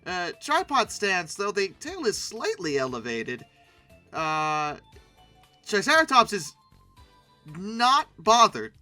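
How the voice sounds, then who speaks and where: A video host giving casual, humorous commentary in an expressive, emphatic way with wry, sarcastic asides. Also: mid-range voice, slow, pauses often, faint music behind.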